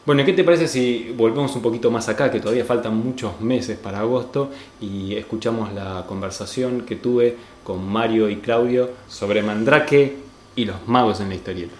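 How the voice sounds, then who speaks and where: A man's voice: lowish voice, normal speed, echoey room, slight background noise. A man with an Argentine accent hosting a podcast, chatting casually about comics. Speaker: podcast host.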